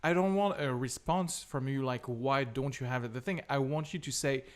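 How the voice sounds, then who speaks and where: A man hosting a podcast, chatting casually and halting often with fillers. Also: mid-range voice. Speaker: podcast host. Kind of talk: casual chat.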